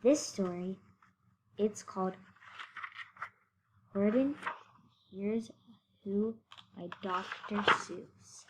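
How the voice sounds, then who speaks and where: A female reader doing a children's picture-book read-aloud, expressive, with short explanatory asides. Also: medium pitch, drawn-out words, pauses often, background noise. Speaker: storybook reader.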